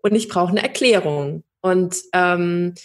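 A young woman from Germany telling her own story in an interview, thoughtful, with many pauses and fillers. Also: on the low side. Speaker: podcast guest. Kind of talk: personal interview.

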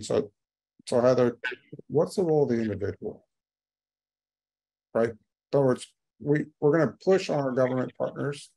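A man's voice: mid-range voice, vocal fry, dark tone. A man moderating a panel on a video call, conversational as he steers the questions.

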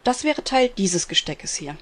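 Slow-speaking flower seller played by a woman. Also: medium pitch, big pitch swings, a bit bright. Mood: polite, helpful. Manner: polite, hesitant service talk. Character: gentle, helpful flower shop saleswoman. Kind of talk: parody detective audio drama acting.

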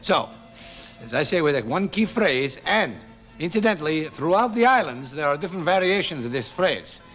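A man's voice: on the high side, very animated, normal speed, a bit bright, faint music behind. An actor playing a jovial Greek male instructor on a morning TV show, speaking with a put-on Greek accent and a beaming, sing-song manner in a 1980s sketch comedy. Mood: chummy. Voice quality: beaming, sing-song, leans hard on catchphrases.

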